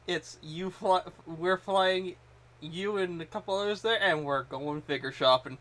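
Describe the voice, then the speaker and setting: A man's voice: high voice, a little slow, a bit bright, no room sound. A man co-hosting a podcast in casual chat, often repeating words as he gets a sentence going. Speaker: podcast co-host.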